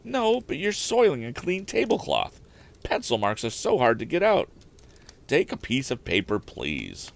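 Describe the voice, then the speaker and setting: A man's voice: mid-range voice, huge pitch swings, measured pace, creaky, voice pushed up, heavily acted. A man voicing a fussy older female housekeeper in a storybook, exclaiming and scolding politely. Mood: scolding, flustered.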